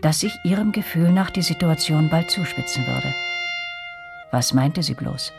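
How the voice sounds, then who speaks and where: An actress narrating a radio drama as a reflective woman recalling her girlhood, with a breathy, husky voice. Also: deep voice, normal speed, over music. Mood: reflective, uneasy.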